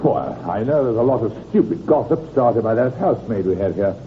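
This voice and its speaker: A man's voice: medium pitch, expressive, fairly quick, lightly acted. A man playing a plausible, evasive British murder suspect in a 1950s radio drama, casual and dismissive. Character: smooth-talking, evasive murder suspect, a gentleman farmer. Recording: tinny, noisy old radio recording.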